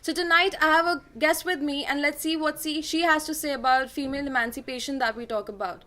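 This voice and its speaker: High-voiced young Indian woman host. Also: sharp tone. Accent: Indian English. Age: young adult. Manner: scripted introductions, brisk questions, frequent "you know". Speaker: talk show host. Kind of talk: student talk show hosting.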